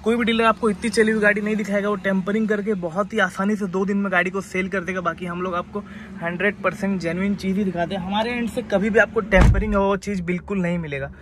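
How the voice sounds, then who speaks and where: A man presents a used car as its dealer. His sales patter is persuasive but flat in melody, sprinkled with English terms. Outdoor and vehicle noise sits faintly behind him. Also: high-pitched, quick pace. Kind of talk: unscripted sales walk-around. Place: outdoors by a roadside lot and inside a car.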